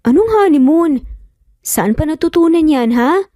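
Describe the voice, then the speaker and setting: A woman's voice: sits high, lively intonation, a little slow, warm tone, dry recording, clean studio sound, pitched above the performer's own voice. A woman voicing an easily flustered young mother, fretful and indignant, in a narrated story. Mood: shocked.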